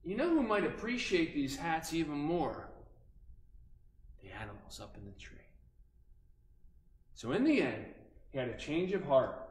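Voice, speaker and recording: A man working as a children's storyteller, animated and instructive, pausing between phrases as he invites listeners to act along. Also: on the high side. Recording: echoey room.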